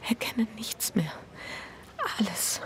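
An actress playing an anxious, guilt-ridden wife narrating a radio drama in an intimate, breathy, introspective way. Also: female voice, whispered, close to an everyday voice. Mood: shaken, fixated. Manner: introspective, hushed inner monologue with halting dialogue. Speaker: lead actor and first-person narrator.

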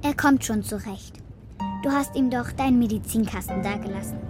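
A woman's voice: fairly high pitch, normal speed, over music, lightly acted. A young woman playing a plucky, plain-spoken girl of the steppe in a German children's radio play, matter-of-fact in manner. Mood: calm, plain.

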